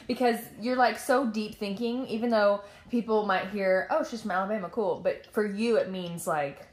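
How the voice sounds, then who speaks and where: A woman from Tennessee, hosting a casual chat, animated and emphatic. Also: medium pitch, normal speed, reverberant. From Nashville, Tennessee, US. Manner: animated, emphatic, warm affirmations.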